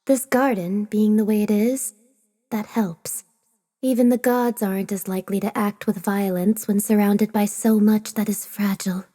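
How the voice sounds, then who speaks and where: Audiobook fantasy role: serene flower-and-tea goddess, female actor, warm dark timbre, courteous and composed. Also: medium pitch, lightly acted. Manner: calm, measured.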